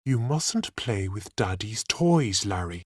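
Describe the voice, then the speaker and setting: A man voicing a gentle but firm mother in a storybook, with a calm, indulgent manner. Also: lowish voice, takes it slowly, dry recording, clean studio sound, full character voice.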